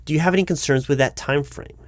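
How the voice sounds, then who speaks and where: A man's voice: fairly high pitch, quick pace, no echo. A man speaking aloud in a one-sided job interview roleplay as a polite, officious recruiting manager, in a formal and wordy corporate way.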